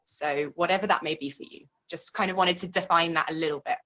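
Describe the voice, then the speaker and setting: A woman's voice: on the low side, fast, pushed and bright, rough recording. A woman interviewing in a casual, informal way, explaining things and steering the conversation as host.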